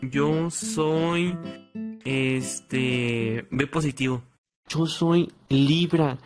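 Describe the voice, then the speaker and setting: A man's voice: on the high side, drawn-out words. A young man from Mexico trading crude, teasing jokes in casual chat. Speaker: YouTuber guest. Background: music.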